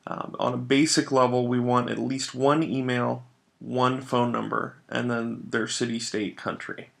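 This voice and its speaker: A man narrating a step-by-step software tutorial as a trainer, explaining each step in an instructional way. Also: medium pitch, little pitch movement, unhurried, gravelly.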